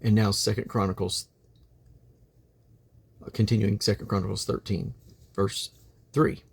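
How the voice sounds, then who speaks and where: A man reading from the King James Bible in a solemn, deliberate manner, with emphatic phrasing and drawn-out endings. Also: lowish voice, little pitch movement, creaky, breathy edge. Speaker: Bible reader.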